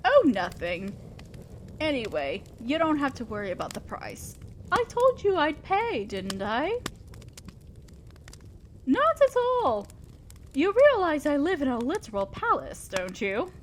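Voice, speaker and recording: A woman's voice: high-pitched, sing-song, a little slow, long pauses, a little hiss or hum. A woman playing a refined, teasing demon lord in an audio roleplay, addressing a mortal servant with genteel, indulgent, theatrical playfulness. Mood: indulgent, pleased.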